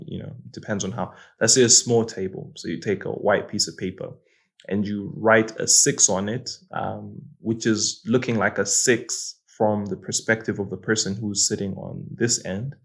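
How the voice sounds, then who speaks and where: A young man from Malawi hosting his own channel, talking through life lessons in an earnest, reflective way and often repeating words as he thinks. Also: lowish voice. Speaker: host. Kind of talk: casual reflective monologue.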